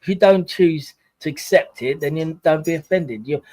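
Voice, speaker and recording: A man in his forties offers life advice in a casual livestream chat, leaning on sayings in a preachy way. His voice sits fairly high, and the sound is dry and close. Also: moderate pace, darkish tone, no room sound. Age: 40s. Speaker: guest on a livestream.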